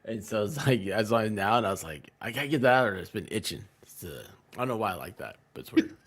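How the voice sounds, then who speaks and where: A man's voice: fairly low pitch, animated, quick pace. A man co-hosting a livestream with casual, playful banter and scattered asides. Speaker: livestream co-host.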